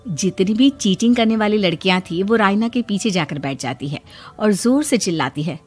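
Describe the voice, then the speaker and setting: A woman's voice: mid-range voice, lively intonation, normal speed, faint music behind. A woman narrating a scripted cartoon moral story as its storyteller.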